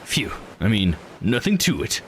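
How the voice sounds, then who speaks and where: A man's voice: fairly low pitch, huge pitch swings, measured pace, slight background noise. A man reading the part of an easygoing, wry male sightseer in a visual novel. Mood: casual, wry. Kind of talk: visual novel character read-aloud.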